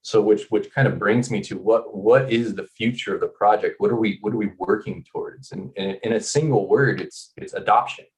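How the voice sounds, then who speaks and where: Low-voiced founder from California, halting. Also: male voice, rough recording. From Northern California. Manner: halting, repeats words. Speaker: founder and core developer. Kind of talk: casual presentation.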